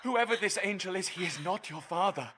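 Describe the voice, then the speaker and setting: An actor playing an earnest, protective young suitor in an audio drama, with a formal, dramatic delivery. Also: male voice, high voice, lively intonation, fairly quick, heavy background noise. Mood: impassioned. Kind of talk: full-cast audio drama acting. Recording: loud music behind.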